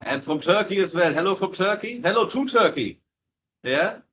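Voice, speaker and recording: German guy running a live q&a, kinda high voice, lots of ums, faint hum behind. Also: animated, moderate pace, fairly bright tone. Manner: friendly, filler-heavy, repeats words while reading comments. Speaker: entertainment team leader hosting a live Q&A. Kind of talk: casual live-stream chat.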